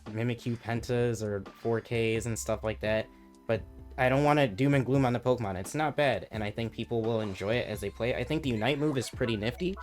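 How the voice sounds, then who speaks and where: Hoarse guy rambling about a game, music going in the background. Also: medium pitch. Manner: relaxed, loose, lots of filler "like" and restarts. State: losing his voice. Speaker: gaming channel host. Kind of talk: casual game commentary.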